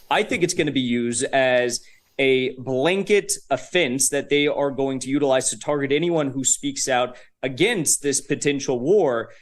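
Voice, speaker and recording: Young male guest commentator, mid-range pitch, casual and emphatic delivery. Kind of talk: political commentary.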